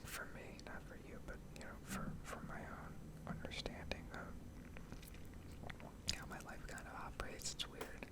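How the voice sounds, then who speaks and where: A woman with an American accent whispering a candid, rambling ASMR monologue with hesitant phrasing. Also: moderate pace. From New England, United States. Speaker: ASMR video creator.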